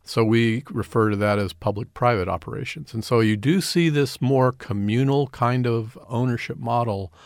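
A middle-aged man speaks earnestly as a radio interview guest, stopping to repeat and restart his words. His voice has a gravelly edge at a mid pitch. The sound is dry and close, with no room around it. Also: moderate pace, hardly any pauses, no echo. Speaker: community development director, guest.

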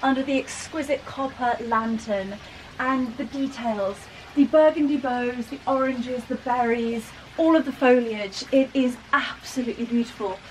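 A young woman with a fairly high voice talks as a lifestyle vlogger showing viewers around. She is effusive and enthusiastic in her delivery. The sound is roomy and comes from a camera a few steps away. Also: moderate pace. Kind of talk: house tour vlog presenting. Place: large rooms inside a house. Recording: roomy, distant camera mic, noisy.

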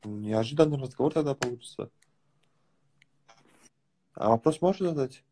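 A man in his twenties with a slight Dagestani accent, chatting casually with callers on a phone livestream from a sofa at home, relaxed and teasing. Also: medium pitch, moderate pace, a little hiss or hum. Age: 20s. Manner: relaxed, teasing, mumbles at times. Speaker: livestream host. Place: small room at home, on a sofa, indoors. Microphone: phone front camera held close.

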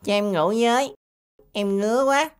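A woman's voice: medium pitch, smooth, soft background music, close to an everyday voice. A woman voicing a mischievous, stubborn little boy pencil in a children's cartoon, exclamatory and whiny. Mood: whiny, miserable. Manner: exclamatory, whiny, drawn-out grunts and cries. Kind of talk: children's animated cartoon dubbing.

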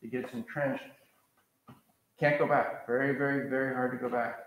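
An older man from the American Pacific Coast lectures in a big hall, his middle-pitched voice bouncing around with heavy echo. He talks in a loose, digressive way, recorded from a distance. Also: level tone, normal speed. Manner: conversational, digressive, gestures while pacing. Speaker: professor leading a master class. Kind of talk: lecture to a seated audience. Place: large lecture hall with red seats. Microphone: far camera.

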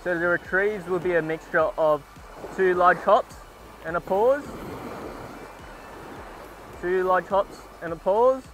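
A young man narrating his beach fishing vlog in a steady, instructional way, recorded outdoors on an action camera held at arm's length. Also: high voice, takes it slowly, long pauses, a little hiss or hum, poor audio. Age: young adult. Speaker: fishing vlogger. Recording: music playing under the voice. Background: music.

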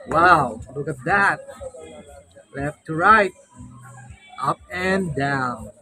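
A man's voice: a little high, expressive, very slow, pushed and bright, faint music behind. A man in his thirties with a Filipino accent, giving a casual, playful product review for a vlog into a phone camera. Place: open-air beach hut at night, later inside a tent.

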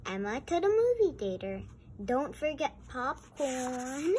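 Lady with a highish voice reading a kids book with little comments, noisy home recording. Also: very animated, takes it slowly, darkish tone. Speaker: read-aloud host. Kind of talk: reading a picture book aloud with chatty comments.

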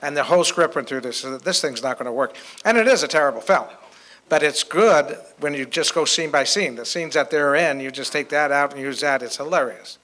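An older man telling anecdotes to an audience on a panel, in a halting way. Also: on the high side, sharp tone, low-quality recording. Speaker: panelist and author. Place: hotel conference room with an audience. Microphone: handheld microphone.

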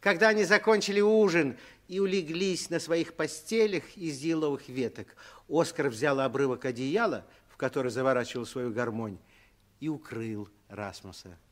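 A man's voice: a little high, normal speed. A man narrating a children's story as scripted narration in a 1960s radio play.

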